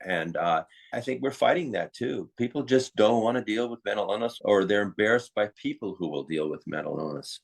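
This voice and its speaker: Low-pitched man, American accent, interview guest, opinionated, explains stuff in a chatty way. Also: lively intonation, fairly quick, vocal fry, hardly any pauses. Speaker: interview guest, psychiatrist and author. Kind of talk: conversational interview talk.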